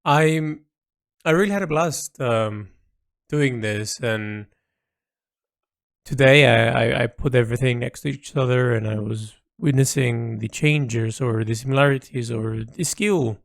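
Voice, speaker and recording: A man giving informal audio log commentary on his own artwork, speaking in a halting, reflective way with pauses mid-phrase. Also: mid-range voice, gravelly. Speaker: artist narrating own project log.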